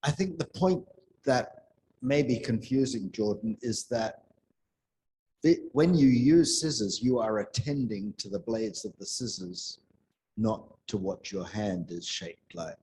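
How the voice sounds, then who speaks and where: A man giving an unscripted comment as a conference discussant, deliberate and explanatory. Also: lowish voice, mellow, poor audio. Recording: faint background noise.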